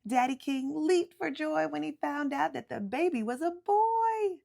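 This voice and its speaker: Female storyteller for a children's picture book, lively and emphatic, with some vocal fry. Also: high-pitched. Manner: animated, emphatic storytelling with drawn-out words. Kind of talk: expressive read-aloud of a children's picture book.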